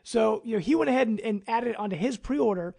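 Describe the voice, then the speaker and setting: An American man hosting a casual podcast chat in a high voice with noticeable vocal fry. He comes across as upbeat and agreeable, talking in an informal, conversational way. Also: animated, fast talker, a little mellow. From United States. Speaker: podcast host.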